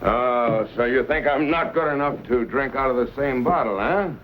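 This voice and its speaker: A man's voice: medium pitch, normal speed, natural voice. An actor playing a boastful, menacing knife-fighting henchman with a swaggering, taunting manner, in an old-time western drama. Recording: dull, faintly noisy old recording.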